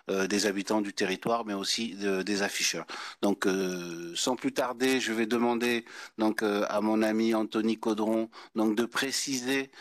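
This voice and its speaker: A man chairing a public meeting, speaking formally in wordy, halting phrases. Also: on the low side, no room sound. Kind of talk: formal meeting address, semi-improvised.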